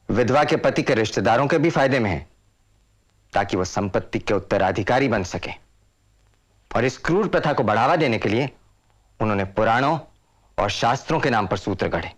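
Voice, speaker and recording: An actor playing a passionate, indignant social reformer in a period drama, declaiming like an orator. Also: male voice, medium pitch, expressive, normal speed, pauses often, lightly acted. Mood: earnest oratory. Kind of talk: Hindi period drama acting.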